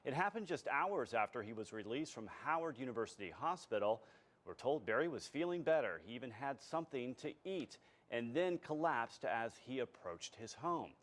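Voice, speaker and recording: A man's voice: medium pitch, animated, normal speed, a bit bright. A man working as a TV news anchor and reporter, delivering scripted news narration.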